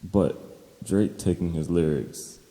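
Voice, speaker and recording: Very deep, dark, gravelly man's voice. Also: steady tone, slow pace, long reverb tail. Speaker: podcast co-host. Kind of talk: casual discussion.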